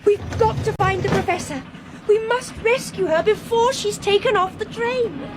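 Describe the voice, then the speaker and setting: A woman's voice: very high-pitched, normal speed. An actress playing an earnest, headstrong young Englishwoman, urgent and emphatic, in a British radio drama. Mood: urgent, defiant.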